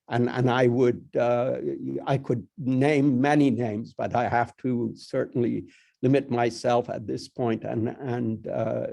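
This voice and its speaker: An older man whose first language is Persian, a college dean giving a halting formal address with many restarts. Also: mid-range voice, creaky, dark tone, husky.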